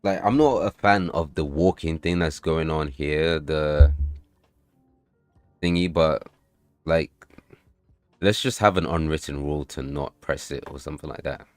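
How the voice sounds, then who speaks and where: A man with an extremely deep voice talks loosely to his livestream chat while coding and playtesting, swearing freely and breaking into sudden excited outbursts. His voice sounds dry and close, with no room echo. Faint music plays in the background. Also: unhurried, pauses often. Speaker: livestreamer and game developer.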